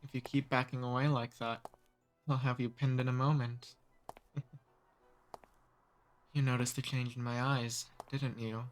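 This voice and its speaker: Casting: male actor for an ancient noble vampire, mid-pitched male voice, charming and softly dominant, intimate ASMR roleplay. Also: level tone, faint music behind, natural voice, poor audio. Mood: predatory, soothing. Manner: courtly, slow seductive teasing.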